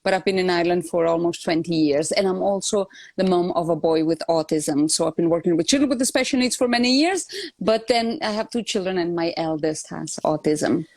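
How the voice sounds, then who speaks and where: A woman from Barcelona with a Spanish Catalan accent talks as an interview guest. She speaks earnestly and fills pauses with restarts. The sound is close and dry, with no room at all. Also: fairly low pitch, darkish tone, dry recording. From Spain (Barcelona). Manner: earnest, with frequent fillers and restarts. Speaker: guest, education consultant. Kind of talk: conversational interview.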